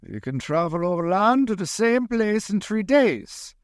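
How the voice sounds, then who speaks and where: High-pitched, commanding vampire hunter played by a man. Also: big pitch swings, a little slow, no room sound, clean studio sound, full character voice. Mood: resolute. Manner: insistent, theatrical. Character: commanding, urgent male vampire hunter questioning a hypnotized woman. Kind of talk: old-time radio drama acting.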